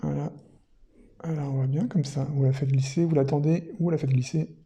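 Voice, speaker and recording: A man's voice: on the high side, fairly even intonation, normal speed, warm tone. A man presenting a product unboxing in casual, unscripted commentary, thinking aloud somewhat hesitantly.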